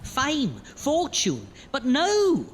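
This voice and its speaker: Man playing a cheeky, animated hunchback lab assistant. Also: very high voice, very slow, long reverb tail, slight background noise, full character voice. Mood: indignant. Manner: colloquial, sarcastic. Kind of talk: comedic gothic horror audio drama.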